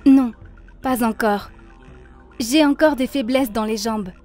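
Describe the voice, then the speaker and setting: A woman's voice: on the high side, takes it slowly, a little mellow. A woman voicing a devout, grateful young woman in a cartoon, speaking earnestly and solemnly. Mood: earnest, relieved. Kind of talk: dubbed cartoon monologue, testimony. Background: faint background music.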